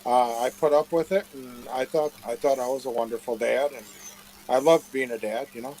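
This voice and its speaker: A man's voice: medium pitch, fairly even intonation, moderate pace, a little mellow, a little hiss or hum, poor audio. A man answering questions in a casual live chat, rambling and plain-spoken. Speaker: interview guest.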